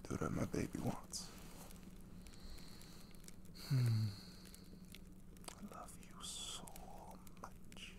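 A man playing an affectionate, dorky young boyfriend in an ASMR roleplay, speaking softly and breathily, often near a whisper, in a halting, tender way. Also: lowish voice, creaky, noisy background, heavily acted. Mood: tender, teasing.

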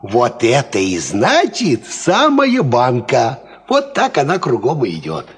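An actor playing a worldly, cocksure peasant farmhand, explaining things in folksy fashion in a 1950s Soviet radio play. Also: male voice, mid-range voice, takes it slowly, slightly rough, full character voice. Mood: knowing. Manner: folksy, animated, instructive.

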